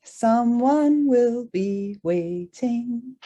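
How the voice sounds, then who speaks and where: Brief: woman participant, mellow, slightly high voice, soft and thoughtful, slow. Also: lively intonation, soft background music. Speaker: workshop participant.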